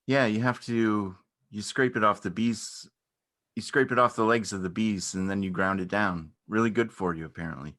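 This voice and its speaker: Low-pitched male actor as a shy beekeeper; halting, understated, earnest stage dialogue. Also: fairly bright tone, lightly acted. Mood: awkward, friendly. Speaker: amateur or local theatre actor. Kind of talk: naturalistic dramatic reading of a stage dialogue.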